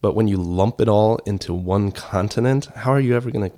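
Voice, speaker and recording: A man's voice: lowish voice, a little fast, mellow. A man who grew up in Kenya, speaking as an interview guest in an earnest, explanatory, conversational way, repeating points for emphasis.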